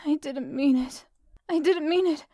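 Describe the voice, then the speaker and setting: Woman as high-voiced, shy, anxious schoolgirl. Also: a little slow, dark tone, no echo, clean studio sound. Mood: distraught, pleading. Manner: hesitant, stammering, polite. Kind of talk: anime visual novel fan dub acting.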